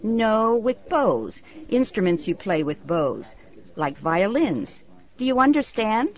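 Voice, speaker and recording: An actress playing an elderly woman from Germany, a brisk, matter-of-fact nursing home resident. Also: mid-range voice, sing-song. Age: older. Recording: dull lo-fi recording.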